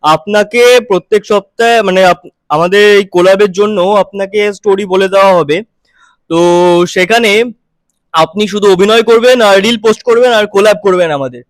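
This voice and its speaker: Man putting on a high-pitched pushy prank caller. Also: moderate pace, dry recording, natural voice. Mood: pushy, evasive. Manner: insistent, evasive, repetitive. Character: pushy, muddled caller offering paid acting work. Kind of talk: prank phone call improvisation.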